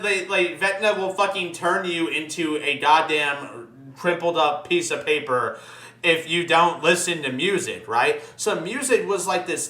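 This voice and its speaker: A man's voice: fairly high pitch, pushed and bright. A man in casual opinionated chat as a podcast host, ranting emphatically and swearing often. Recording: echoey room.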